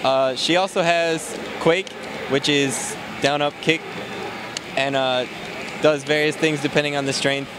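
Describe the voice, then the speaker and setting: A man's voice: a little high, unhurried. Guy casually explaining fighting game moves, lots of ums, noisy convention floor behind him. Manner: relaxed, explanatory, many fillers. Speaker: game developer demoing a character. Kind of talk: casual gameplay walkthrough. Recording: noisy event recording with background noise.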